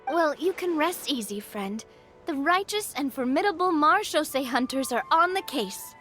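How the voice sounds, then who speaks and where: A woman voicing a kind, good-natured young heroine in an animated video game. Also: high voice, normal speed, soft background music. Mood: grandly reassuring.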